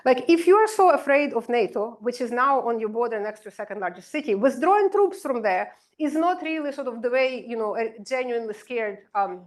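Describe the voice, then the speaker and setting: A woman, a political science professor giving an academic lecture, explaining in a measured way with many "sort of" and "you know" asides. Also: fairly high pitch, lively intonation.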